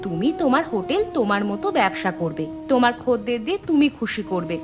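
A woman's voice: fairly high pitch, sing-song, fairly quick. A woman playing a practical, encouraging village wife in a cartoon. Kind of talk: Bengali cartoon voice acting. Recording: background music under voice.

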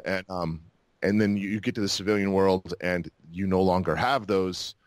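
An American man in a casual interview, telling his story in a reflective, halting, conversational way. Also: deep voice, steady tone, dry recording, studio quality. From Utah and New Mexico, United States. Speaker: podcast guest, actor and army veteran.

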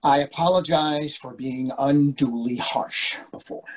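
A man's voice: fairly high pitch, unhurried, poor audio. A man in his sixties playing an irritable, sarcastic husband in a readers theatre comedy over a video call. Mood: strained, sarcastic. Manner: sarcastic, exasperated. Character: irritable, indignant older husband dining out.

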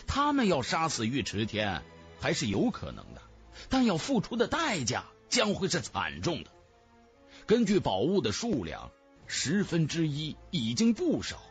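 A man's voice: mid-range voice, slightly rough. A man narrating a fantasy novel in a dramatic, theatrical storytelling manner. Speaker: audiobook narrator.

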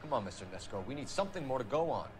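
An actor playing a brisk, stern police detective in a video game, clipped, official and pressing. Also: male voice, mid-range voice, sing-song, natural voice. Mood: businesslike. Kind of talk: cinematic video game acting.

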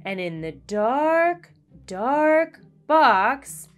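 A woman voicing a female cat teacher telling a storybook ghost story, hushed, slow and suspenseful. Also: high-pitched, big pitch swings, exaggerated voice. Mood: eerie suspense.

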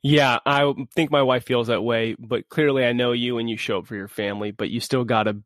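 A man's voice: medium pitch, fairly even intonation, a little fast, fairly bright tone, dry recording, clean studio sound. A man in his forties hosting a podcast, friendly and upbeat, in easy casual chat. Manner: upbeat, friendly, laid-back. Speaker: podcast host.

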